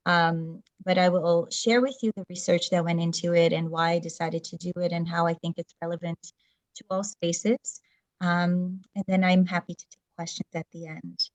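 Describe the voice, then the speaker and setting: A woman's voice: fairly low pitch, little pitch movement, moderate pace, no room sound, rough recording. A middle-aged woman whose first language is Portuguese gives a thoughtful talk over a video call, with frequent fillers and restarts. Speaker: presenter.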